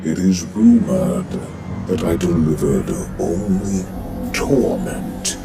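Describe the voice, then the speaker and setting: A man voicing a sinister male AI that runs a prison ship, in a deep, gravelly, menacing tone, with music behind. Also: slow pace. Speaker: voice pack narrator.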